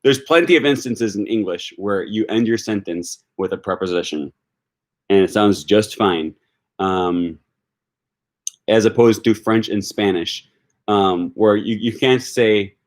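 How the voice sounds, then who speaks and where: A man's voice: lowish voice, measured pace. A man from Wisconsin with a Wisconsin accent, chatting casually on a livestream and answering viewer comments, thinking aloud with frequent fillers and restarts. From Wisconsin, USA. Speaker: language-learning YouTuber answering live chat.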